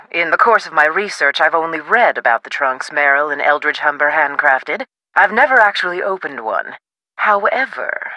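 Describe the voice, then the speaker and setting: A woman playing a self-assured, scholarly researcher in a video game, precise and theatrical with deliberate emphasis. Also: low-pitched, pushed and bright, no echo, poor audio. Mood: brisk, learned.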